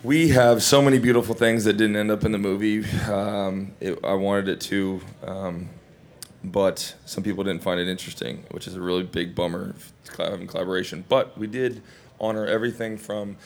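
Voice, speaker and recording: Man, from Hawaii, actor guest, slightly low voice, rambling animated anecdotes. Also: moderate pace. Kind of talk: casual Q&A storytelling.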